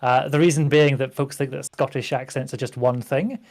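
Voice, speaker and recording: A man's voice: mid-range voice, fairly even intonation, fairly quick, darkish tone. A man from the UK, a guest on the stream, chatting enthusiastically about his channels. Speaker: guest, tabletop game YouTuber. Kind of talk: casual chat.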